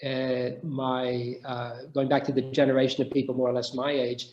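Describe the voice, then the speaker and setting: A man's voice: medium pitch, completely flat delivery, normal speed, darkish tone, roomy sound, highs cut off. A middle-aged man answering questions in an academic discussion, thoughtful and full of restarts. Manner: thoughtful, many restarts and hesitations. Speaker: guest speaker.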